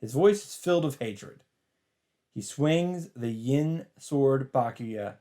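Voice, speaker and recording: A man's voice: medium pitch, animated, slow pace, warm tone. A man doing a dramatic read-aloud as host of a visual novel playthrough. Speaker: let's play host reading aloud. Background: faint game music.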